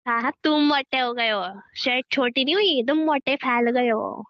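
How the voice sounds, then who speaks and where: A high, girlish voice in a dull, dry recording. A young woman acts a bossy girlfriend in a couple's phone-call drama. She teases and scolds her boyfriend with sarcasm, slipping English into her Hindi. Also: moderate pace, dry recording, natural voice. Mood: scolding, teasing. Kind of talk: acted phone-call conversation between a couple.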